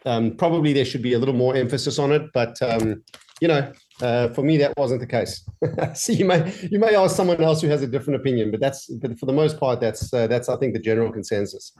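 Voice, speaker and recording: A man's voice: medium pitch, brisk. Guy in his 40s, mixed south african and aussie accent, laid-back rambly talk on a video call from home. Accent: mixed South African and Australian. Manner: relaxed, many fillers and restarts. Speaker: guest entrepreneur. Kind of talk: casual interview chat. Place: home office.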